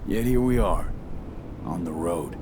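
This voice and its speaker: Deep-voiced weary mercenary V, noisy backdrop. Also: male voice, lively intonation, measured pace. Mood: reflective. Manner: terse, clipped, understated. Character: world-weary young male cyberpunk mercenary, terse, wry, sincere. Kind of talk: naturalistic video game acting.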